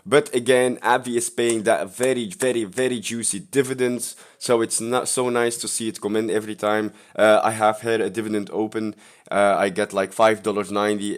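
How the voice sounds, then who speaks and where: Young man casually walking through his portfolio. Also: fairly low pitch. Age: 20s. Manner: relaxed, upbeat, speaks in short phrases with fillers. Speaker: investing channel host. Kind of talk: casual screen-share portfolio walkthrough.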